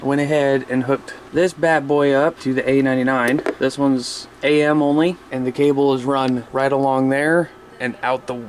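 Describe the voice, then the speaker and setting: A man's voice: medium pitch, slight background noise. A man talking casually and plainly to viewers as he explains his hobby setup in a homemade vlog. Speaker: hobbyist vlogger showing his CB radio setup.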